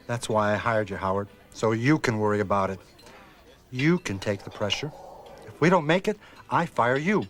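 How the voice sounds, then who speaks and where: Driven male executive played by an actor, lower register, dismissive and overbearing, scripted drama delivery. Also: moderate pace, fairly bright tone, soft background music, close to an everyday voice. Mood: blunt, threatening. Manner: blunt, commanding. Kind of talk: acted medical dramatization.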